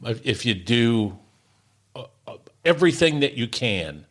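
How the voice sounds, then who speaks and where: An older American man reminiscing casually as an interview guest in a halting, thoughtful way. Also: mid-range voice, sing-song, a bit bright. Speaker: interview guest, former radio comic.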